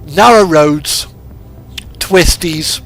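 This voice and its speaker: Higher-voiced man chatting over music. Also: very slow, fairly bright tone. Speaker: livestreamer playing a truck driving simulator. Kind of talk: casual rambling game commentary and chat. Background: background music and game truck engine.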